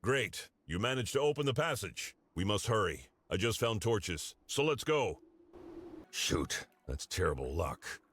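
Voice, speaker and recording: A man's voice: fairly low pitch, very animated, unhurried, fairly bright tone, slight background noise, exaggerated voice. An actor playing a grave, devoted father and former werewolf commander in a video game, with an earnest, dramatic delivery. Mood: frustrated, practical. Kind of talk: scripted video game character dialogue.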